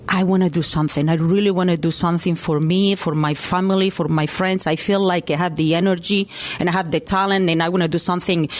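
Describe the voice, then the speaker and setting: A middle-aged woman speaks with a Spanish accent in a slightly low voice. She is a native Spanish speaker, recounting her own life in a conversational interview style. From Spain, grew up in Mallorca. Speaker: interview guest, company president. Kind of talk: conversational personal storytelling.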